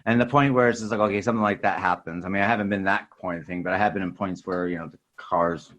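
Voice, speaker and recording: Fast-talking male podcast host. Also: deep voice, fairly even intonation, fairly bright tone. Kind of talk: casual chat.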